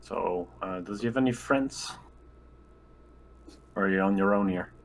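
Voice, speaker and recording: A man with a gravelly voice, playing a tabletop roleplaying game and asking casual in-character questions. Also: low voice, a little slow, slight background noise.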